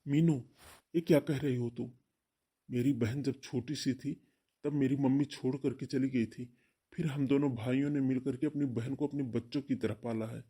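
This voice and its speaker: A man's voice: mid-range voice, fairly even intonation, normal speed, warm tone, husky, close to an everyday voice. A man voicing an earnest, devoted young husband in a cartoon family drama, with a pleading manner. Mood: pleading, hurt.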